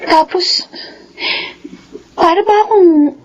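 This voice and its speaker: A woman's voice: very high-pitched, slow, muffled recording. An actress playing an anxious, emotional young pregnant woman pleading in a radio drama. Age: young adult. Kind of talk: Filipino radio drama acting.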